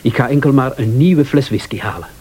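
An actor with a Flemish accent playing a scheming, prickly husband, a former bar owner, in a radio thriller, speaking with sardonic mock politeness. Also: male voice, mid-range voice, brisk, a little mellow, slight background noise, close to an everyday voice. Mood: sardonic, mock-polite.

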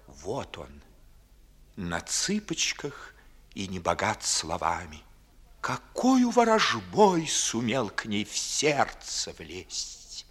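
An actor playing a proud, witty young gentleman in a 1950s classical radio play, declaiming verse with sardonic emphasis. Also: lowish voice, huge pitch swings, slow, full character voice. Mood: bitter musing.

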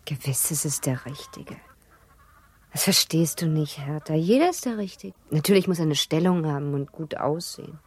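A woman's voice: low-pitched, animated, moderate pace, breathy edge. An actress playing a candid, self-assured upper-class girl of seventeen in a radio drama. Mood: breezy, assured. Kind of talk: German radio drama acting.

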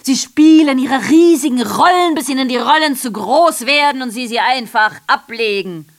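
A woman's voice: high voice, moderate pace. Sardonic, declamatory female messenger. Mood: proud, declamatory. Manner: sardonic, declamatory, self-interrupting. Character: ironic, rambling female messenger addressing the audience. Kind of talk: theatrical radio drama monologue.

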